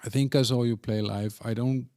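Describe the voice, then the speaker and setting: A man with a medium-pitched voice hosts a casual interview. He speaks haltingly and informally, in a joking, conversational way. The sound is dry and close. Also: steady tone, mellow, dry recording. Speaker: podcast interviewer, host.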